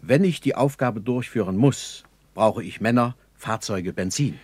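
An actor playing a practical, doubtful German engineer captain in a 1960s radio play, reporting in a matter-of-fact soldierly way. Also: male voice, fairly low pitch, a little slow, darkish tone, breathy edge, no echo.

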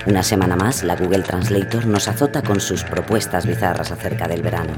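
An actor playing a wry, suspicious blogger in scripted radio fiction, delivering an ironic, mock-pompous monologue. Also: male voice, low voice, fairly even intonation, brisk, lightly acted. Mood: mock indignation. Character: wry, suspicious male blogger from inland Spain. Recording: music bed behind the voice.

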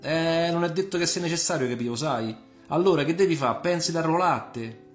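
A cocky, friendly young Roman man acted by a male storyteller chatters in colloquial dialect. His voice sits high and rings in a roomy space, full of ironic teasing, as in a radio story. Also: a little fast, faint music behind, lightly acted. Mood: bantering, explaining.

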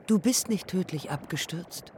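An actress in a radio play playing a grave, haunted woman aviation pioneer who speaks in sparse, deliberate phrases. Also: on the low side, lively intonation, a little mellow, a little hiss or hum. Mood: probing. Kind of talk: literary radio drama acting.

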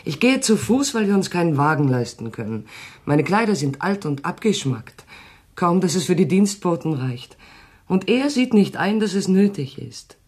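An actress playing an ambitious, self-pitying French mistress in a German radio drama, insistent and theatrical. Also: female voice, on the low side, sing-song, normal speed, a little mellow, breathy edge. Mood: indignant, impatient.

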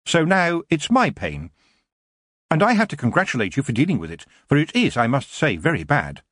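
A man voicing a clever young girl witch who speaks crisply and firmly, with a husky voice. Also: fairly high pitch, wildly swooping pitch, normal speed, a bit bright, no echo, studio quality, voice pushed up, exaggerated voice. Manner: crisp, sweetly firm.

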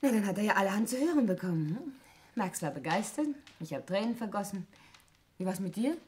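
An actress playing a cool, teasing sister from a wealthy family in a 1960s German radio play, with an ironic, casual delivery. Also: female voice, medium pitch, sing-song, a little fast, darkish tone, close to an everyday voice. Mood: light, ironic. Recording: noisy 1960s radio drama recording.

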